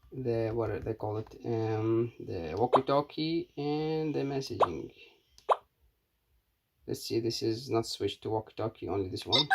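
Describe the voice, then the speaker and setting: A man giving a casual, unscripted hands-on product review in a halting, matter-of-fact way. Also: on the low side, creaky, heavy background noise.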